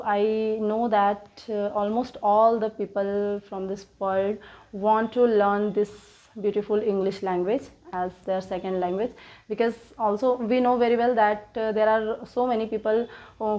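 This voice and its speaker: A woman from India, native in Hindi, with an Indian accent, hosting her own English-learning channel and talking slowly in learner's English, full of fillers and restarts. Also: medium pitch, darkish tone. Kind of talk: casual motivational talk to viewers.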